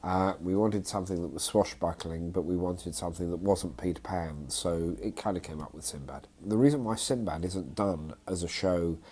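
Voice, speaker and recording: A man's voice: sits low, normal speed, hardly any pauses, mellow, husky. A man speaking off the cuff in an interview as a theatre company director, with faint noise behind him. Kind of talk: unscripted interview.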